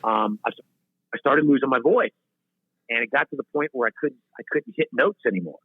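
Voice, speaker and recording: A man's voice: mid-range voice, brisk, slightly rough, no echo. A man in his fifties tells long, winding stories as an interview guest, often breaking off and starting his sentences again. His voice comes through a thin, phone-like line with a very dry sound and no room around it. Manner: rambling, self-interrupting, laughs at himself. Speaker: singer-songwriter guest. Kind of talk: storytelling interview chat. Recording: tinny phone-line audio.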